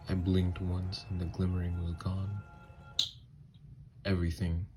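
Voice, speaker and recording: A man playing a gentle, haunted, newly-turned vampire lover in an ASMR audio drama, speaking in hushed, halting, hesitant phrases. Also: sits low, completely flat delivery, music in the background. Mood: wistful, awed.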